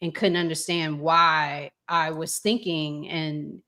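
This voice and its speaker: Slow-talking woman hosting a casual chat. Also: deep voice, little pitch movement, pushed and bright. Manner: informal, colloquial. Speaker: host of a relationship discussion show. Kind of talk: casual conversational discussion.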